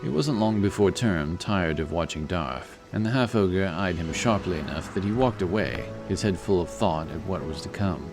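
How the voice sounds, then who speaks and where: Deep guy narrating a fantasy story, scripted read, music going behind him. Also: expressive, moderate pace, hardly any pauses.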